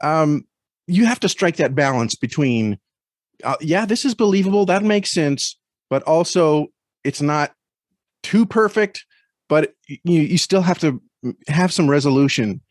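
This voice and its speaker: A man speaking as a guest critic in a thoughtful podcast conversation, measured and often repeating words as he chooses them. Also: a little high, animated, no room sound, studio quality.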